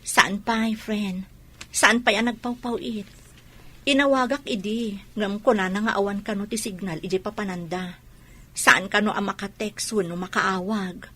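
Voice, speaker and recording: A woman's voice: mid-range voice, fairly even intonation, unhurried, pushed and bright. A woman playing a fretful soldier's wife in a comic radio drama, nagging and prodding.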